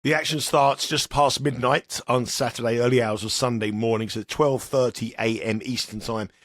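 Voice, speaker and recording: A man's voice: medium pitch, steady tone, normal speed, fairly bright tone, no echo, clean studio sound. A man hosting a betting podcast in a chatty, rambling way, sounding nasal and sniffly from a cold. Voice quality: slightly sniffly. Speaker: podcast host. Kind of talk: casual betting chat.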